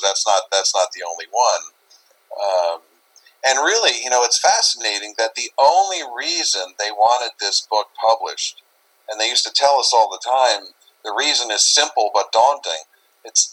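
A man's voice: lowish voice, a bit bright, low-quality recording. A man talking casually in an interview, rambling and circling back over his phrases as he explains. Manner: rambling, repeats phrases, often says "you know". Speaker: interview guest, paranormal investigator.

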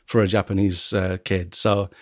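A man from England, hosting a podcast in casual conversation, who often pauses and restarts as he searches for words. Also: low-pitched, little pitch movement, no room sound, clean studio sound. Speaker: podcast host.

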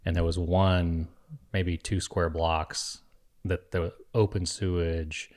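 A man hosting a podcast, an animated storyteller who laughs loudly in casual conversation. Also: deep voice, slow pace. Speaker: podcast host.

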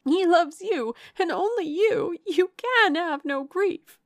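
A woman's voice: very high voice, animated, slow, dry recording, studio quality, voice pushed up, exaggerated voice. A woman narrator voicing an anguished, heartbroken young woman from a classic novel, passionate and exclaiming wildly. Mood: wild despair. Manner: wild, exclaiming, lamenting.

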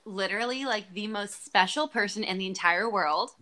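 A woman's voice: mid-range voice, normal speed, pushed and bright. A young woman hosting a podcast, chatting casually and giggling a lot over a video call. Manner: bubbly, giggly, lots of "like". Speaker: podcast host. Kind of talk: casual chat.

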